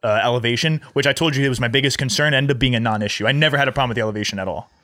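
Young man, American accent, medium pitch, dry studio-like sound, casual podcast co-host, rambling anecdotes. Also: rapid-fire, pushed and bright, dry recording.

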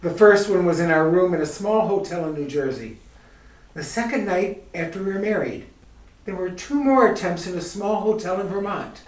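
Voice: medium pitch, normal speed, lightly acted. An actor playing a nervous young male groom in a 1940s-style radio play, with earnest, anxious pleading. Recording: echoey room, distant mic.

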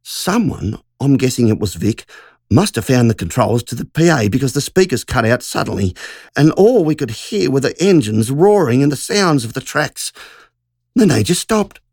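A woman's voice: extremely low pitch, big pitch swings, moderate pace, a little mellow, no echo, studio quality, pitched above the performer's own voice, full character voice. A narrator voicing Margie, a shaken country woman who tells a frightening story in an urgent, breathless rush. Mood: frightened.

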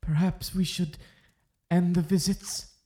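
An actor playing a timid, obedient young Puritan sheriff and nephew in an audio drama, hesitant and deferential. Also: male voice, sits high, level tone, takes it slowly, mellow. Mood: uncertain, eager. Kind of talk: full-cast audio drama acting.

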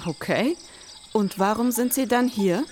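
A woman's voice: on the high side, measured pace, darkish tone, slight background noise. A woman hosting an investigative podcast in scripted, dramatised style, as narrator and interviewer.

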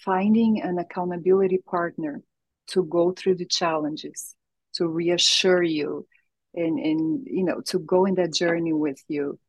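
Husky Brazilian-accented woman, low voice. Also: measured pace, dry recording, rough recording. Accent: Brazilian Portuguese. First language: Portuguese. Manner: earnest, reflective, laughs often. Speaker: guest, holistic health coach. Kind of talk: casual interview chat.

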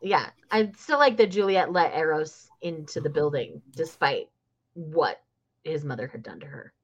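A woman's voice: low-pitched, animated, moderate pace, lo-fi sound. A woman co-hosting a podcast, talking eagerly about a book she loves. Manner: enthusiastic, opinionated reader. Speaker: podcast co-host. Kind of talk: casual book discussion.

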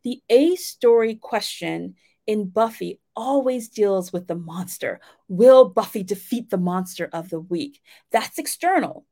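A woman speaks at a medium pitch in a casual teaching style. She addresses listeners directly, like a coach who hosts her own lesson. Her manner is conversational and encouraging. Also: animated, measured pace, mellow. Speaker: writing coach and host. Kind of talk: casual instructional talk to listeners.

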